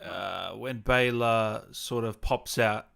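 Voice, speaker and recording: A man's voice: fairly low pitch, slow, creaky, fairly bright tone, smooth. A man hosting a casual online gaming interview, chatting and reading out viewer questions over a video call. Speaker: interviewer and gaming show host.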